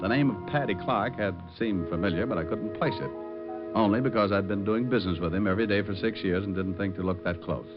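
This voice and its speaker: A man's voice: fairly low pitch, fast talker, lightly acted. An actor playing a wry, wisecracking male private detective who narrates an old radio drama in a laid-back, sardonic way. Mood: narrating, wry. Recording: dull old radio recording with music.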